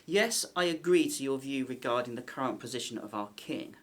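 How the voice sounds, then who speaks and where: A man's voice: mid-range voice, lively intonation, fairly quick, natural voice. A man playing a nervous, wary palace conspirator of ancient Egypt in an audio drama, speaking formally and theatrically. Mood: grave, conspiratorial.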